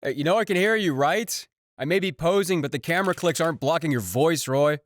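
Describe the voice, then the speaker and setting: A man's voice: fairly high pitch, brisk, natural voice. A man playing a vain, playful idol singer in a scripted roleplay audio drama, teasing with casual swagger. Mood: cocky, teasing. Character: cocky, flirty male idol band singer, playful and vain. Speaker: voice actor.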